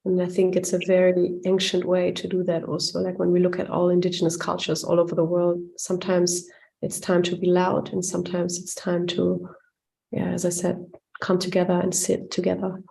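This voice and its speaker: Woman guest from Lebanon and Germany, low dark gravelly voice, soft reflective chat. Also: completely flat delivery, moderate pace, a little hiss or hum.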